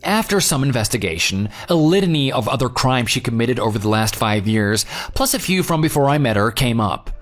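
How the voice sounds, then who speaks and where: A jilted former groom, played by a man, telling his story in an audio drama. He is dry, sarcastic and unyielding, with a medium-pitched voice. Light music sits underneath. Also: very animated, brisk, few pauses, lightly acted. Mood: matter-of-fact. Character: jilted ex-fiance, sarcastic, unyielding, self-assured. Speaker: narrator and lead character. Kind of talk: audio drama dialogue and first-person narration. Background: background music.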